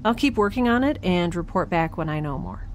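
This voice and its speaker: Actress as quirky young woman scientist logging her days in space, lowish voice, rambly and wry, ambient noise behind her. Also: animated, brisk. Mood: wry, chatty. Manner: chatty, wry, self-interrupting, informal. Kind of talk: audio drama, first-person spoken log.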